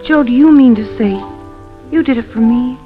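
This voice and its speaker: A woman's voice: high-pitched, normal speed, close to an everyday voice. An actress playing a devoted, anxious young American girlfriend in a 1950s radio drama, emotional and pleading. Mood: shocked, desperate. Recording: dull old radio recording with music.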